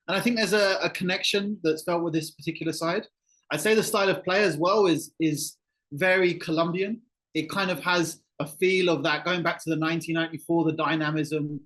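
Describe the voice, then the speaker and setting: A middle-aged man from England, a football journalist, answering interview questions in a relaxed, thoughtful way and often restarting phrases. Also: fairly high pitch. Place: Colombia, remote call.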